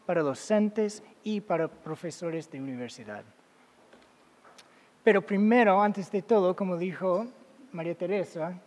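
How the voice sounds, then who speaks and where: A man with as his giving an academic talk as a guest lecturer, halting, with many fillers and self-corrections. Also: on the high side. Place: lecture hall. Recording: long reverb of a large hall.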